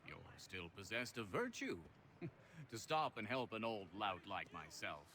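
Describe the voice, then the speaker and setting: Theatrical, grumbling old inventor. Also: male voice, on the low side, big pitch swings, measured pace, sharp tone, exaggerated voice. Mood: genial, imploring. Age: older. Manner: theatrical, wordy, old-fashioned phrasing. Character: elderly grumbling, genial, eloquent American inventor. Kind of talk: video game character acting.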